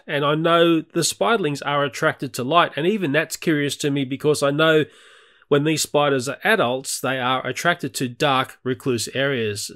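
A man's voice: fairly high pitch, a bit bright. Chatty Australian man narrating. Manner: chatty, candid. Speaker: nature video creator. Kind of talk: voice-over narration.

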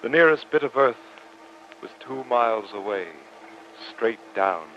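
An actor playing an earnest, formal professor who narrates a sea adventure in old-time radio drama style. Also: male voice, medium pitch, expressive, unhurried, a little hiss or hum, natural voice. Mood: grave narrating. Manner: formal, theatrical, dramatic delivery. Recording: muffled old radio recording, music underneath.

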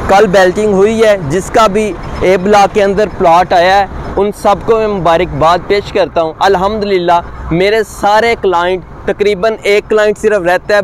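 पाकिस्तानी उर्दू लहजे वाला एक आदमी, बाहर किसी निर्माण साइट पर खड़ा होकर दर्शकों से सीधे बात करता है और जोश के साथ प्लॉट बेचता है।. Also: male voice, rough recording. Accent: Pakistani Urdu. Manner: upbeat sales pitch, speaks straight to viewers. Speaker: real estate agent. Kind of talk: on-site property promo vlog. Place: outdoors at a construction site by a busy road. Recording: faint outdoor traffic noise.

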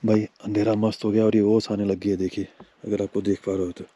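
A man's voice: lowish voice, little pitch movement, quick pace, dark tone, a little hiss or hum. A man vlogging casually at a campsite at night, chatting to his viewers and calling them brother. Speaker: travel vlogger. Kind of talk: casual vlog narration to viewers. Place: campsite at night, outdoors and in a tent.

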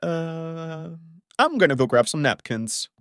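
A man's voice: a little high, very animated, takes it slowly, fairly bright tone, clear, resonant tone, exaggerated voice. A young man voicing a popular teenage boy who is nervous and stammers a lot, juggling two dates. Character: popular teen boy, flustered two-timer. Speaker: voice actor in a fan-made game drama.

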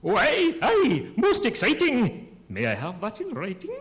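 A man's voice: high-pitched, wildly swooping pitch, measured pace, fairly bright tone, heavily acted. A man playing a grandiose, self-important poet who declaims in rhyme in a theatrical comic reading. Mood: eager, plaintive. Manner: pompous, declamatory, rhyming.

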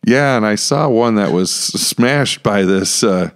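A middle-aged American man co-hosting a casual podcast, chatty, halting and repeating words as he goes. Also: on the low side. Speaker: podcast co-host, church pastor. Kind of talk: casual Bible discussion podcast. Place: small indoor room with acoustic panels. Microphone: close podcast microphone with headphones.

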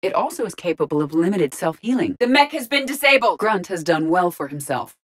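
A female starship artificial intelligence from a sci-fi video game, living in an android body. She is logical and composed, choosing formal words and placing each one with measured precision. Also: lowish voice, sing-song. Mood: urgent, alert. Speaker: video game character voice actor. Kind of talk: scripted game dialogue, isolated voice lines.

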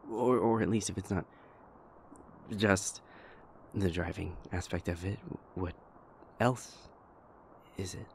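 Man acting a stammering, low-voiced shy boyfriend. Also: slow pace, vocal fry, long pauses, a little hiss or hum, exaggerated voice. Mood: apologetic, concerned. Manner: stammering, hesitant, apologetic, soft-spoken. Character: shy, anxious, gentle young boyfriend who rambles apologetically. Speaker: audio roleplay voice actor. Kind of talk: intimate boyfriend audio roleplay.

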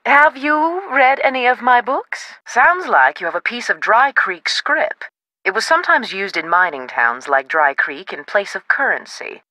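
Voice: medium pitch, sharp tone, no echo, low-quality recording. An actor playing a self-assured, chatty, theatrical historical novelist in a video game. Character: high-spirited, self-promoting writer of historical novels, local history buff. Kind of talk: video game character dialogue.

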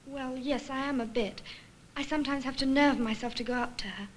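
Casting: actress for earnest junior nurse, high-pitched, hesitant, 1950s film sound with faint noise. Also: female voice, fairly even intonation, fairly quick, natural voice. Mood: anxious, doubtful. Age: young adult. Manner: hesitant, candid. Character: earnest, uncertain young female nurse. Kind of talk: 1950s instructional film acting.

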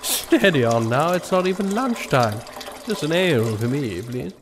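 Man playing a fussy high-pitched king. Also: very animated, normal speed, noisy background. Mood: disapproving. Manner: hesitant, pompous muttering. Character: fussy, suspicious, pompous king. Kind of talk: comic audio drama acting.

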